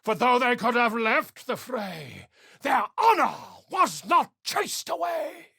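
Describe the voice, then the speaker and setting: A man's voice: very high-pitched, very animated, takes it slowly, gravelly, pushed and bright, husky, no room sound, clean studio sound, exaggerated voice. An actor playing an eccentric, pompous male bard and scholar in a video game, speaking in flowery, declamatory phrases. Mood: declaiming.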